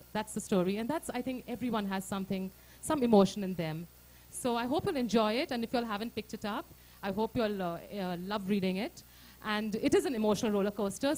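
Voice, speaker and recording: A woman's voice: mid-range voice, a little mellow, low-quality recording. A woman author giving a speech at her book launch, earnest and hesitant, with many fillers.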